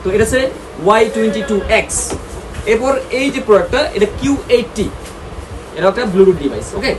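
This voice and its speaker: A Bangladeshi man with a high voice presents shop products at a quick pace. He addresses viewers directly in a casual sales style, dropping in English words and little check-in tags. Ambient noise is clearly audible behind him. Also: lively intonation. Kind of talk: casual promotional product showcase. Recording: clearly noisy, ambient background sound.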